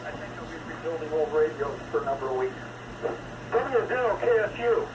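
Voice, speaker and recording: Higher-pitched American man on noisy shortwave radio. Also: expressive, fairly quick, echoey room. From West Coast of the United States. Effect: heard over shortwave radio. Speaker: amateur radio operator. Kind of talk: casual ham radio conversation.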